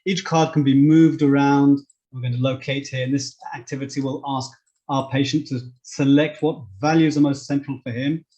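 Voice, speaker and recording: A man's voice: mid-range voice, steady tone, normal speed, dark tone. A man giving an informal, step-by-step screen-share demonstration as a presenter, explaining with stressed key words. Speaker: presenter demonstrating online therapy card software. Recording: dull, lo-fi microphone sound.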